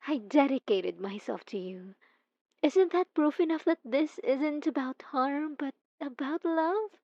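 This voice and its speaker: A woman playing an obsessive, possessive young stalker in an ASMR roleplay, talking softly and intimately with teasing giggles. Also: high voice, measured pace, dry recording, lo-fi sound. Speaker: narrator speaking to the listener.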